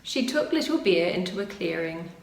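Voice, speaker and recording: A woman's voice: fairly low pitch, lively intonation, moderate pace, gravelly. A middle-aged woman narrating a picture book expressively for preschool children, recorded indoors a short distance from the camera. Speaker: preschool story-time reader. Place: indoors, small plain room. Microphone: camera a short distance away. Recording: roomy, faint background noise.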